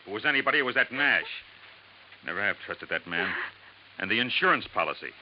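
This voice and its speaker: A protesting, defensive man under suspicion played by an actor in a vintage radio mystery. His voice sits a little low, heard through a dull, hissy old broadcast recording. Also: lively intonation, quick pace, natural voice. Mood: indignant. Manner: indignant, protesting. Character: defensive male murder suspect, indignant. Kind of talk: 1950s radio drama acting.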